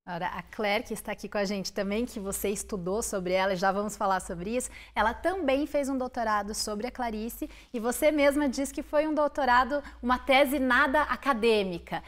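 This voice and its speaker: A woman interviewer who frames long, careful questions for her guests, lifting her voice to stress key words. Her voice sits a little high. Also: moderate pace, hardly any pauses, fairly bright tone. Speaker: interviewer host.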